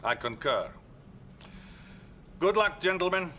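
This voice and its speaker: An actor playing a courteous, formal Soviet premier in a video game, with a Russian accent. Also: male voice, high-pitched, sing-song, slow pace, pushed and bright, full character voice. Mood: gracious. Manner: formal, gracious. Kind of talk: video game cutscene acting. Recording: faint background noise.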